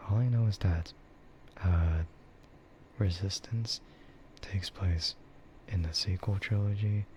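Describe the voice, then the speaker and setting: A man speaking softly in a breathy half-whisper, rambling casually and hesitantly like an ASMR streamer close to the mic. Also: deep voice. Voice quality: soft, breathy, often whispered. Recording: muffled lo-fi stream audio, clear background noise.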